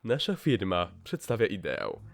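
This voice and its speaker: A man voicing a slick, scheming male media mogul with smooth salesman patter in a fan dub. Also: fairly low pitch, huge pitch swings, a little slow, exaggerated voice. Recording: music under the voice.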